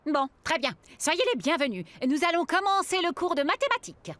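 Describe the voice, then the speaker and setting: Firm female teacher for cartoon, high pitched with lively intonation, orderly classroom manner. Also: fairly quick, dry recording, exaggerated voice. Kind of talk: French cartoon dubbing.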